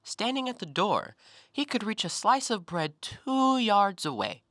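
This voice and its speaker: An actor in an audio play, playing a talkative, self-assured intellectual who probes and needles with articulate, deliberate theatrical phrasing. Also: male voice, sits high, very animated, pushed and bright, no room sound, studio quality, full character voice. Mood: reflective storytelling. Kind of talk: stage-style audio play monologue.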